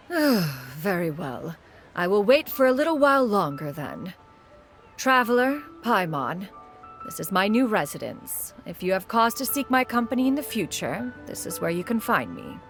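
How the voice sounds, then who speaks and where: A woman's voice: mid-range voice, big pitch swings, unhurried, fairly bright tone. A woman voicing a proud ancient crane spirit in a video game, speaking in a stately, archaic and haughty way. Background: game music.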